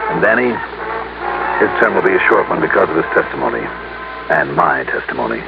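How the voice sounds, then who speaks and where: An American actor playing a shrewd, hard-boiled insurance investigator in 1950s radio drama, with a pointed, probing, clipped delivery. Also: male voice, very deep voice, sing-song, fairly quick, gravelly, loud music behind the voice, full character voice. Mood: brisk, matter-of-fact. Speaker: lead actor and narrator of a detective series. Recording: tinny, noisy old radio recording.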